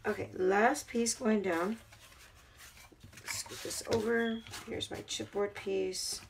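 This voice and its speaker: Woman, hoarse, gravelly, chatty craft tutorial host, home table, audible background noise. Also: mid-range voice, fairly even intonation, takes it slowly. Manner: chatty, talks while working with her hands. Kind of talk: casual craft tutorial narration.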